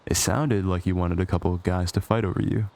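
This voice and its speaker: Casting: male actor for cocky villain with a soft spot, low and creaky, conversational, sarcastic, close ASMR roleplay. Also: fairly even intonation, a little fast. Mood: jealous, defiant. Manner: teasing, sardonic, conversational. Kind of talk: intimate ASMR roleplay acting.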